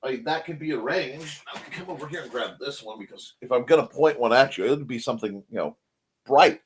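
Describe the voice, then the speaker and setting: A middle-aged man chatting casually as a show co-host, rambling, pausing to search for words and adding wry asides, his voice still recovering after he nearly lost it. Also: mid-range voice. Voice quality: husky. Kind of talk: casual chat.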